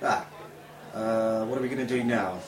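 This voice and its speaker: A woman performing in a comedy sketch, speaking with a deliberately fake Norwegian accent and stiff, formal phrasing. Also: extremely low pitch, fairly even intonation, darkish tone. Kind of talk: scripted comedy sketch acting. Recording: roomy echo, distant mic.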